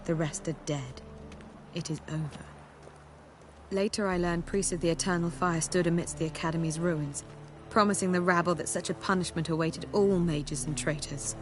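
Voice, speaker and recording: An actress playing a dignified sorceress and mentor in a video game, speaking in a formal, measured, solemn way. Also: female voice, fairly low pitch, fairly even intonation, background noise, natural voice. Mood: grave, composed. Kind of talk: video game dialogue acting. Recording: music playing behind the voice.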